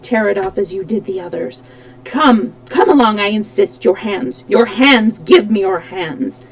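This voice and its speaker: A woman's voice: medium pitch, measured pace, natural voice. A woman reading aloud, voicing a tormented, disfigured masked man who roars, taunts and pleads. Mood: menacing. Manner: roaring, theatrical, alternately pleading and menacing.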